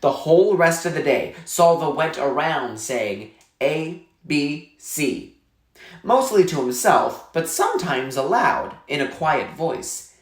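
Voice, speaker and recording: A man's voice: a little high, big pitch swings, unhurried. Man reading a story aloud, echoey room. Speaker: reader reading a novel aloud. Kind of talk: scripted narration, read-aloud storytelling.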